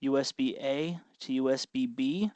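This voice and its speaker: A man's voice: mid-range voice, steady tone, normal speed, darkish tone, dry recording. Man giving a tutorial, dry muffled sound. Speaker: host of a hobby electronics tutorial. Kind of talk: informal hands-on tutorial narration.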